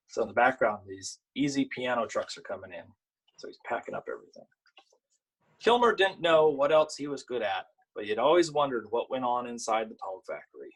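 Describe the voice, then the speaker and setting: A man with a slightly husky voice, an author and illustrator sharing picture books at a virtual storytime in an informal way. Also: mid-range voice, rough recording. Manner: informal, halting when chatting. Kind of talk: virtual storytime, reading aloud and chatting about the books.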